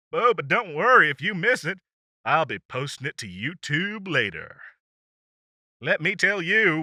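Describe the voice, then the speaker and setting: An upbeat demon DJ acted by a man hosts a chart show from hell in an audio roleplay. His voice is very bright and forceful, a little high, and swings freely in pitch. He delivers his patter with teasing, showy enthusiasm, recorded dry and close. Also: dry recording, heavily acted. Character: upbeat, chatty male demon radio DJ from hell. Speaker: radio host. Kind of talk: radio chart countdown, scripted audio roleplay.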